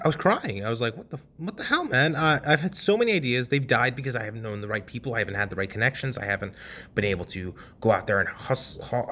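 A young man in his twenties, hosting a podcast and telling a personal story in a casual, animated way, cursing freely and dropping at times to a hush. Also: medium pitch. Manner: animated, swears casually, swings from loud to hushed. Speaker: podcast host.

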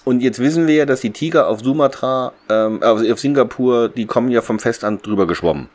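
A man's voice: mid-range voice, fairly quick, darkish tone. A man hosting a podcast in casual discussion, thinking aloud with some hesitation. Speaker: podcast host.

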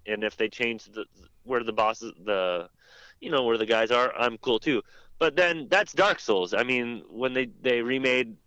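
A man chatting casually as a podcast panelist about video games, rambling and haltingly rephrasing himself. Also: medium pitch, sharp tone, no room sound. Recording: dry, dull lo-fi audio.

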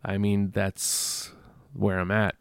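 A stuffy-nosed man talks alone into a close microphone for his podcast, rambling and self-deprecating between fillers and false starts. His voice is low and full of gravelly fry, and the recording is dry. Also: monotone, takes it slowly, dry recording. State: congested. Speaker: podcast host. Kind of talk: casual solo podcast monologue.

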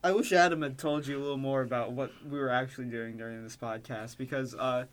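A man co-hosting a comedy podcast, talking casually and joking in a dry, deadpan way. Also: medium pitch, fast talker. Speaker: podcast co-host.

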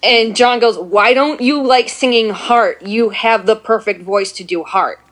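A woman with a deeper voice for a woman, chatting at length as a guest in a casual talk. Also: unhurried, fairly bright tone. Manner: chatty, self-deprecating, talks at length. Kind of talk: casual chat.

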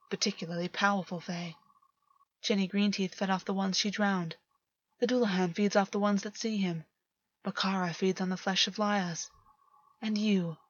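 Woman voicing a softly menacing male fae predator. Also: mid-range voice, dull audio, close to an everyday voice. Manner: menacing, deliberate, softly taunting. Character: cruel, predatory male fae taunting his captive.

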